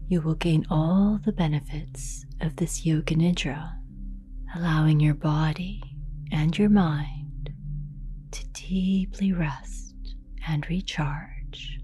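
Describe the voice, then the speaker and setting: A woman leading a guided meditation in a soft, breathy near-whisper, calm and gentle, with long unhurried pauses. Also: low-pitched. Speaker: yoga nidra meditation guide. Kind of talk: guided meditation, slow and soothing narration.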